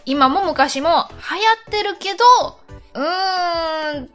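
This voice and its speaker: A woman's voice: very high-pitched, slow pace, a bit bright, soft background music. A woman co-hosting a radio show in polite, giggly casual chat, reading out listener letters.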